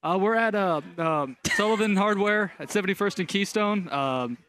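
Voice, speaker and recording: A man's voice: high-pitched, moderate pace, a bit bright, a little hiss or hum. Hesitant young man filling in on radio. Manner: hesitant, unsure. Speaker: fill-in helper on air.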